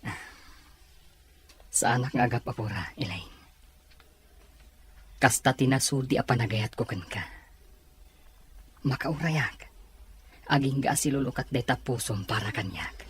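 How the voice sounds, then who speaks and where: A man's voice: mid-range voice, measured pace, long pauses, husky. A man with a Filipino accent playing an earnest, patient suitor in a romantic audio drama. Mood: tender, earnest. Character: earnest, patient male suitor declaring love.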